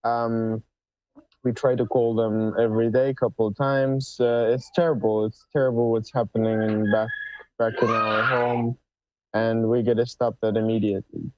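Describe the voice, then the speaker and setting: A man from Ukraine answering questions as a guest, halting and repeating words. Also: medium pitch, little pitch movement, muffled recording.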